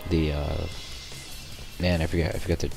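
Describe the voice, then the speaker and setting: A young man with a raspy voice, sick, gives a rambling gameplay walkthrough in a very low register. Loud music plays behind his voice-over. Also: little pitch movement, normal speed. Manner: rambling, many ums and restarts. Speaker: gameplay commentator. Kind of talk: casual gameplay tutorial voice-over.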